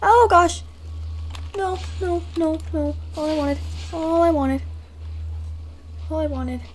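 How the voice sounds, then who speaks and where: A woman's voice: very high voice, takes it slowly, slight background noise. A woman doing casual playthrough commentary, chatting to herself and to the game as she plays. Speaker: gaming streamer. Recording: gameplay commentary over clear music.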